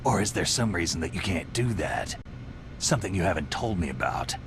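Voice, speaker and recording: An actor playing a cynical, battle-hardened soldier in a video game, gravelly and terse, with a suspicious, sardonic edge. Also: male voice, low voice, expressive, fairly quick, noisy background.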